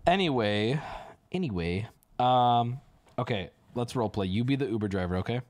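A man hosting a livestream, chatting casually and teasing, with loud joking outbursts. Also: mid-range voice, lively intonation, normal speed. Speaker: livestream host. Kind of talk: casual stream chat.

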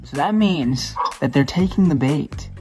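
A man's voice: fairly high pitch, expressive, measured pace, warm tone, faint music behind. Young man plotting over a video call.

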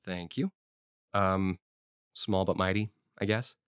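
A man's voice: low voice, fairly even intonation, slow, smooth, no room sound, clean studio sound. A man playing an eager small-town historical society president in an audio drama, telling stories in an informal, rambling way. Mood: friendly, casual. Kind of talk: conversational audio drama monologue.

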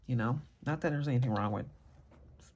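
A soft-spoken woman chatting casually as a vlogger, murmuring her thoughts quietly as she talks. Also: extremely low pitch, a little fast, slightly rough. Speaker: fragrance vlogger. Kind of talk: casual chat.

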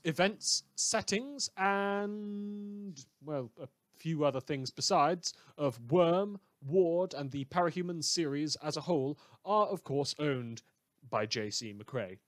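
A man's voice: a little high, no room sound. Mannered man reading a show outro. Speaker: fan radio show creator. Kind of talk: scripted outro narration.

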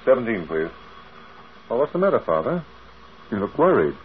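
An actor playing a detective's loyal, easygoing companion in an old radio drama. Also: male voice, mid-range voice, sing-song, normal speed, close to an everyday voice. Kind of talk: 1940s radio acting. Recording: muffled, noisy old radio recording.

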